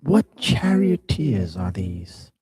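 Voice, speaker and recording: A man's voice: on the low side, sing-song, slow pace, warm tone, breathy edge, dry recording, full character voice. Ione, a curious sea nymph, read by a man. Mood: questioning. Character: Ione, a curious young female oceanid.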